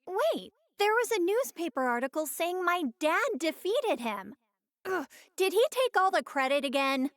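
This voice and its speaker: This is an outspoken young anime woman from a video game. She speaks in a very high, girlish voice with candid, animated delivery. The sound is dry, with no room to it. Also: unhurried, no room sound, heavily acted. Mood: annoyed.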